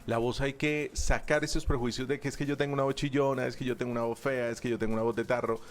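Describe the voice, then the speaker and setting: A man's voice: mid-range voice, steady tone, fast, slight background noise. A man, a public speaking instructor talking as a guest in a radio booth, emphatic and stacking repeated parallel phrases. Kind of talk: radio interview guest explaining.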